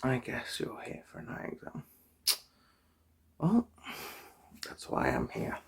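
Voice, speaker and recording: A soft-spoken, often whispering person doing an ASMR eye exam roleplay, chatting gently and politely without hurry, close to the microphone. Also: mid-range voice, huge pitch swings, gravelly, slightly rough. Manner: gentle, unhurried, polite chatty bedside patter. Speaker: ASMR performer playing an eye doctor.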